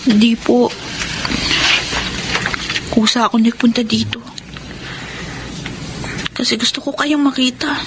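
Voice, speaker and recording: Stubborn young filipino daughter, really high voice, radio drama, noisy recording. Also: female voice, steady tone, slow pace, pauses often. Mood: timid, pleading. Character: discontented, headstrong young daughter, demanding and blunt.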